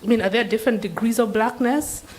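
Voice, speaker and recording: A woman's voice: a little high, quick pace, a little mellow, slightly rough. A woman speaking as a seminar panelist, giving an unscripted answer in an earnest, questioning way with frequent restarts. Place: seminar room.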